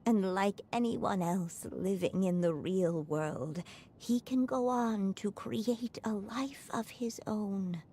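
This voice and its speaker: An actress playing a gentle, earnest mother and writer in a video game, speaking softly and in measured phrases. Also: mid-range voice, slight background noise, close to an everyday voice. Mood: earnest, hopeful. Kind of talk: scripted video game voice acting.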